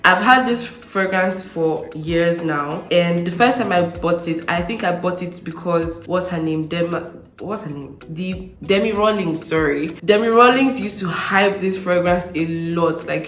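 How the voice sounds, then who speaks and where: A woman's voice: sits low, roomy sound. A woman chatting casually to camera as a perfume vlogger, slightly nasal as she gets over a cold, stressing her points with repeated words. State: recovering from a cold. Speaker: beauty vlogger presenting perfume reviews.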